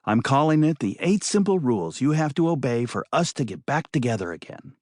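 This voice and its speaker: Man voicing a bossy, exasperated woman, swooping pitch. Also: big pitch swings, brisk, darkish tone, no echo, clean studio sound, lightly acted. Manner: emphatic, lecturing. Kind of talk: audiobook character voice.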